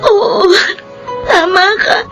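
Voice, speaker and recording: A woman's voice: very high-pitched, very slow, creaky, a bit bright, faint music behind, full character voice. An actress playing an earnest, grateful young woman in a Filipino radio drama, emotional and heartfelt. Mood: tearful, hopeful.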